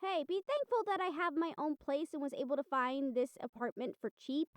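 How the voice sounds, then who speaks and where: A young woman playing a bubbly, encouraging college student in a casual, chatty, expressive roleplay audio drama. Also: high-pitched, brisk, no echo. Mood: reassuring, mildly exasperated. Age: young adult. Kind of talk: roleplay audio drama acting, speaking to listener.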